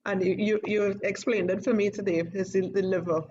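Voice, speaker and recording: A woman's voice: medium pitch, steady tone, fairly quick. A chatty woman joins an online workshop by video call, telling her story casually. Her mid-range voice comes through dull, lo-fi call audio with faint hiss behind it. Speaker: workshop participant. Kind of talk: casual chat.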